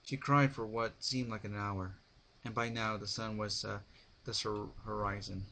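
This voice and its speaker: A man reads a story aloud as an amateur narrator at a slow pace. His delivery is halting, with occasional stumbles, and there is clear noise in the recording. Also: fairly low pitch, fairly even intonation, bright, forceful tone. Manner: halting, stumbles over words. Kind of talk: dramatic reading of a written story. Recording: noisy amateur home recording.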